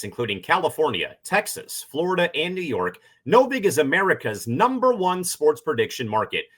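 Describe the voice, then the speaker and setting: A man's voice: a little high, fairly bright tone, husky. A man reading a scripted advertisement as an announcer. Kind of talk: scripted ad read.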